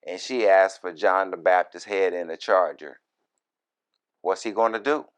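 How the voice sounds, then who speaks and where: Slow, low-voiced man teaching scripture. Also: clean tone. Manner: deliberate, broken short phrases, folksy asides. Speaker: minister, Bible teacher. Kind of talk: verse-by-verse scripture reading with informal commentary.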